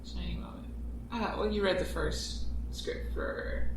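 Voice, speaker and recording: A man chatting casually on a podcast about writing his show, animated and jokey, often laughing mid-sentence. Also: high voice, unhurried, a crowd in the background. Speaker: podcast writer and producer. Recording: very echoey room with noise.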